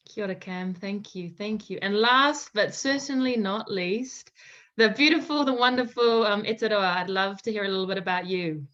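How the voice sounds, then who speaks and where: A woman's voice: mid-range voice, normal speed, fairly bright tone. A woman hosting a casual online panel, upbeat and effusive as she introduces speakers over a video call. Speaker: panel host. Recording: slightly dull video-call audio.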